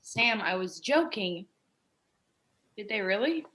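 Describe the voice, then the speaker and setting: Woman as an excitable, animated young roommate. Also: mid-range voice, takes it slowly. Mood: teasing. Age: young adult. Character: excitable, teasing early-twenties roommate sibling. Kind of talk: dramatic script reading.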